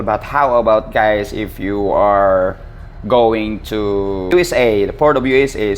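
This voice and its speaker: A man casually teaching a hands-on equipment tutorial as an instructor, halting and repetitive, often addressing his listeners directly. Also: medium pitch, slight background noise. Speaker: maritime instructor and channel host.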